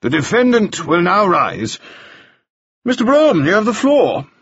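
Man playing a stern impatient judge, snapping orders, husky, lively pitch, dull dry recording. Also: a little slow, no echo, heavily acted.

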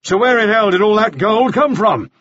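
A man's voice: a little high, sing-song, unhurried, breathy edge, muffled recording, pitched above the performer's own voice, full character voice. Man voicing a snarling, furious gold-rush bully. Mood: furious, desperate. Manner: shouting, snarling, sarcastic. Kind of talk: audiobook character voice.

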